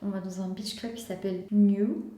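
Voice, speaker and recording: A woman's voice: medium pitch, steady tone, moderate pace, dark tone. A young woman from France chatting casually and enthusiastically to camera in a lifestyle vlog, full of casual slang. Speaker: lifestyle vlogger. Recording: echoey room.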